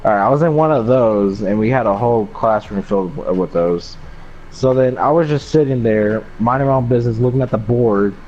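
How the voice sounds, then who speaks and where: A young adult man with an American accent tells a rambling story in casual, sweary slang. His voice sits at a middling pitch. The recording is dull and lo-fi, with faint noise behind it. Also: brisk, darkish tone. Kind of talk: casual anecdote storytelling among friends.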